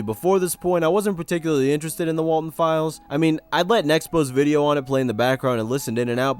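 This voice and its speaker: A man giving casual scripted commentary with joking asides and a dry, sarcastic, understated delivery. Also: medium pitch. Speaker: video essayist, host.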